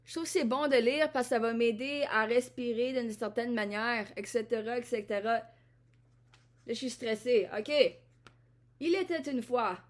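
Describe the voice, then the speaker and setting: A woman's voice: on the high side, moderate pace. A woman from Ottawa talks to the camera in a rambling, introspective vlog monologue, often repeating and restarting her phrases. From Ottawa, Canada. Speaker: vlogger.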